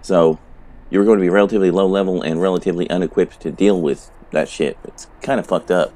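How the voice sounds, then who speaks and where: Man, very deep voice, hoarse from a sore throat, laid-back cursing gameplay chat. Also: steady tone, fast, mellow. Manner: profane, laid-back rambling. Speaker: let's play host. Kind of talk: casual gameplay commentary.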